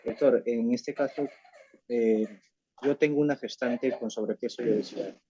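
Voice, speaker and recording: A course participant asks a doctor a question over a video call, hesitating and filling pauses often. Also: mid-range voice, crowd noise. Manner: hesitant, many fillers. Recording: dull lo-fi video call audio.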